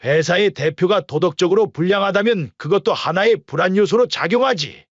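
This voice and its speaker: Man as a cold, commanding middle-aged husband, high-ish voice, dry muffled studio sound. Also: brisk, dry recording. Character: cold stern wealthy father-in-law.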